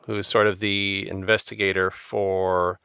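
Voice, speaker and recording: A man with a somewhat low, smooth and resonant voice hosts a relaxed interview. He nods along with "sure, sure" and builds loose questions. The sound is dry and close. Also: measured pace, dry recording. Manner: affirming, with filler-laden questions. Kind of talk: casual interview.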